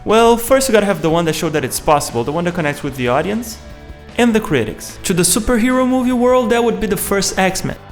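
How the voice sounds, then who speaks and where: Man, high pitch, video essay host, narration over music. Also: animated, fairly quick.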